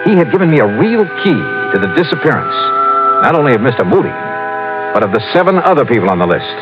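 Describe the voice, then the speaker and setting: An actor playing a brisk, probing insurance investigator in an old-time radio detective drama, narrating and questioning in a clipped, wry way. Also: male voice, on the high side, very animated, highs cut off. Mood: wry, intrigued. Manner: clipped, businesslike first-person narration and questioning. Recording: vintage radio drama, organ-style music bed.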